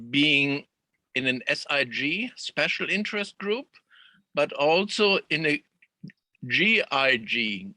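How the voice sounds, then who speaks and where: A man in a group discussion who speaks deliberately and pauses often with fillers. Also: fairly high pitch, sharp tone, no echo.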